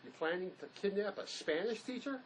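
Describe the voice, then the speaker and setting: Sardonic grumbling fugitive acted by a man, lively pitch. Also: a little fast, slight background noise, poor audio. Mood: sarcastic. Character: sarcastic, grumbling, skeptical fugitive man on the run.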